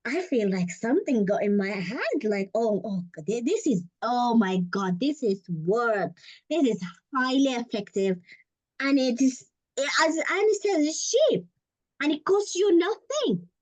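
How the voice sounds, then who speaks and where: A woman's voice: fairly high pitch, lively intonation, measured pace, a little mellow, highs cut off. A woman hosting an interview podcast, gushing and exclaiming often as she asks questions. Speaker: podcast host. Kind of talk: casual interview chat.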